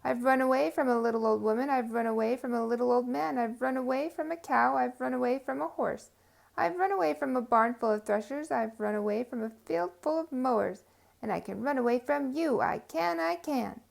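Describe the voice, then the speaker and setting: Woman as a little gingerbread boy, high-pitched, boastful, gleeful, sing-song chanting, storybook character. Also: brisk, vocal fry. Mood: boastful, excited.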